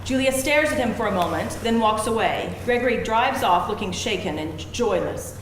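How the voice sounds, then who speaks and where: A woman narrates a script, reading scene headings and stage directions. Her voice is on the high side. The room echoes heavily, with faint noise behind her. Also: lively intonation, moderate pace. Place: live event room before an audience.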